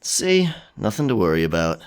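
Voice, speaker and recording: A man's voice: low voice, big pitch swings, normal speed, clean tone. A man playing a cocky, teasing, self-assured sailor in a pirate romance audio drama, with a nonchalant manner. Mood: confident, casual. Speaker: voice actor.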